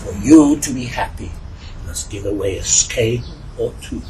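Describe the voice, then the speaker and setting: A wise storybook octopus, acted by a man, declares its advice firmly and knowingly. The voice sounds distant, with clear noise in the recording. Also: medium pitch, expressive, moderate pace, lightly acted. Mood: knowing, solemn. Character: wise, all-knowing octopus advising from a dark cave. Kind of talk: children's storytelling. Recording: noisy, distant microphone.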